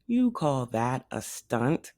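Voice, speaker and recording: Here is a grumbling storybook cat acted out by a woman reader, male and full of menace. He mocks and warns at a slow pace, with a gravelly edge and plenty of swing in his pitch. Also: sing-song, mellow, no room sound, heavily acted. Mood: scornful. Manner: scornful, threatening.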